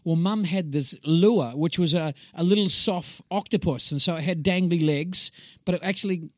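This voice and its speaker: Lively New Zealand man telling stories. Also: a little high, normal speed, dry recording, clean studio sound. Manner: animated storyteller who laughs through anecdotes. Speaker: guest, inspirational speaker. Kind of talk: casual interview chat.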